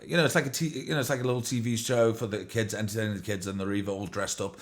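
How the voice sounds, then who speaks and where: A man's voice: on the low side, steady tone, racing. A man hosting a podcast, chatting casually in a rambling way, full of fillers and swearing. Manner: rambling, profane, many fillers. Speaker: podcast host. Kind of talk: casual chat.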